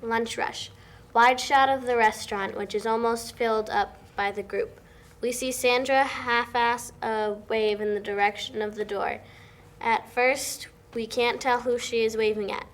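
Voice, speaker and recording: High-voiced young woman narrating. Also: steady tone, measured pace. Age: young adult. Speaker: stage-direction narrator at a table read. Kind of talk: scripted narration. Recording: noisy room recording.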